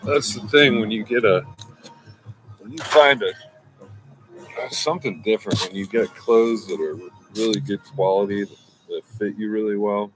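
A man's voice: fairly low pitch, normal speed, music in the background, rough recording. A man in his forties giving a casual, meandering fragrance review outdoors, with lots of restarts and asides, on a close webcam. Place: outdoors by a brick wall, smoking.

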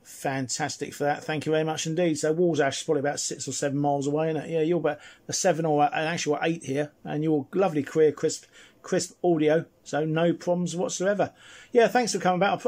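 A man chatting casually and at some length as he demonstrates a CB radio, in a friendly, slightly husky voice. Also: fairly high pitch, steady tone, brisk, a little mellow. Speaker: CB radio reviewer and operator. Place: indoors at a home radio desk.

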